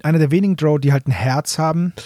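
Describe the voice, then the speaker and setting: A man's voice: fairly high pitch, fast, dark tone, dry recording, clean studio sound. A middle-aged German man co-hosting a podcast in casual fan chat, explaining things and often rephrasing himself mid-sentence. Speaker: podcast co-host reviewing audio dramas.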